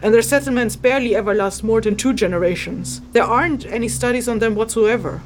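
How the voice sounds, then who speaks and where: An actress playing a blunt, aloof spirit scholar in a fantasy audio drama, with a matter-of-fact, dismissive, lecturing manner. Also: female voice, a little high, fast, background noise, lightly acted.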